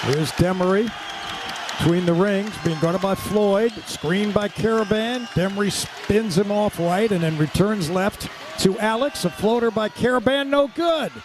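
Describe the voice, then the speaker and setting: A man calling live radio basketball play-by-play in clipped, excitable running descriptions. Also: high-pitched, measured pace. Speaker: play-by-play announcer. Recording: courtside with loud crowd and gym noise. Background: crowd and arena ambience.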